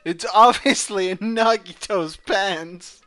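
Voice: mid-range voice, takes it slowly, fairly bright tone, faint music behind. A casual amateur dubber joking and swearing while voicing a game with friends. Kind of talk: joking group dub of a video game.

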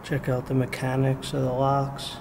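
Flat-voiced man exploring an empty jail. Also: normal speed. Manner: low-key, conversational, pointing things out. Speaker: urban explorer host. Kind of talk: casual walkthrough narration. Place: large empty jail building indoors. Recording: camera audio with steady background noise.

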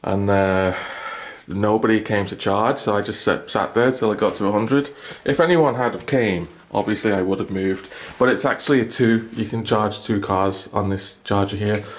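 A man's voice: fairly low pitch, normal speed. A man chatting casually into a handheld camera as he walks through an apartment and out to his car, rambling and self-deprecating. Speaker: travel vlogger. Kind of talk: casual handheld vlog narration. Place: apartment, stairwell and icy outdoor car park. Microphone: handheld camera mic.

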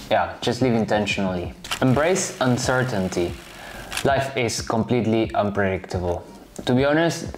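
Spanish-native man in his late 20s, lowish. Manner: direct, self-correcting, offhand asides. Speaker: vlogger. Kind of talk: casual talk to camera. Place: bright home room, indoors.

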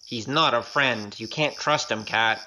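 A woman's voice: extremely low pitch, a little slow, bright, forceful tone, a little hiss or hum, exaggerated voice, poor audio. A woman acting in an audio drama as a glib young male gambler, wry and evasive, with hesitant patter. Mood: terse, urgent.

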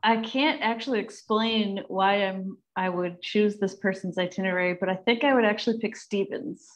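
A woman in her thirties hosts a casual panel chat over video call. Her voice is medium in pitch for a woman. She reads out questions and talks informally from an indoor room. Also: moderate pace. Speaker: host reading audience questions.

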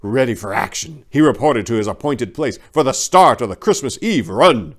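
A middle-aged man performs a scripted story with grand, hammy flourish. His pitch swings widely, landing in an ordinary male register overall. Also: expressive, moderate pace. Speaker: dramatic reader, channel host. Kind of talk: theatrical scripted story reading. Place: small indoor room lined with bookshelves. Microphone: lapel mic.

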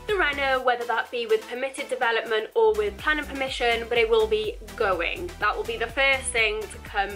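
Chatty bright-voiced young woman vlogger. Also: on the high side, normal speed, few pauses, faint music behind. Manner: chatty, upbeat, rambling with restarts. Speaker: home renovation vlogger. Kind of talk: casual Q&A vlog. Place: indoors at home, virtual backdrops.

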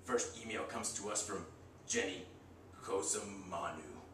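A man's voice: lowish voice, a little slow, background noise. A young man in his twenties co-hosting a comedy sketch, reading viewer emails in a brash, crude rant. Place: small indoor room against a bare wall. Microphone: far camera. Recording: echoey room from far off.

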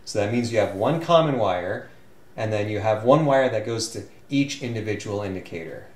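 Man, slightly low voice, casual technical explanation, echoey workshop. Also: animated, moderate pace, a little mellow. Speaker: restoration team member. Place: electronics lab workshop. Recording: echoey room.

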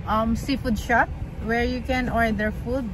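Woman in her thirties, family vlogger, unhurried, higher-pitched, non-native phrasing, casual chat. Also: fairly even intonation, background noise. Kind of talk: casual vlog chat to viewers. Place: in a car, then inside a busy market hall. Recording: music over the voice.

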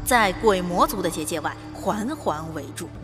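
A woman's voice: lowish voice, lively intonation, moderate pace. A woman giving scripted, dramatic storytelling narration for a radio drama. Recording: loud music behind voice.

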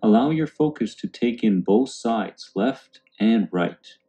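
A man calmly guiding a meditation in a soothing, unhurried way. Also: fairly low pitch. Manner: calm, soothing, unhurried instruction. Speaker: meditation guide. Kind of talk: guided meditation narration. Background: background music.

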